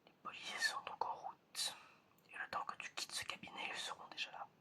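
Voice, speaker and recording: Man acting as stern examiner, ASMR roleplay, full whisper, bright and sharp, menacing, deliberate. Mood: quietly menacing. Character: stern, menacing examiner testing a suspected robot.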